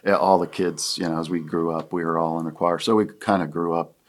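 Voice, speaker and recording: A man in his sixties, from Pennsylvania, talking as a guest in a halting, reflective way with many fillers. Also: low voice, level tone. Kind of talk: casual conversation.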